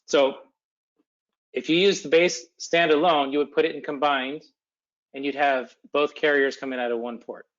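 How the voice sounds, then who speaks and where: A man talks through technical details as a webinar presenter. He is halting, as if thinking aloud, with an unscripted delivery. The sound is dull and lo-fi, like an online call. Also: fairly high pitch, fairly even intonation, a bit bright. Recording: dull, lo-fi webcast audio.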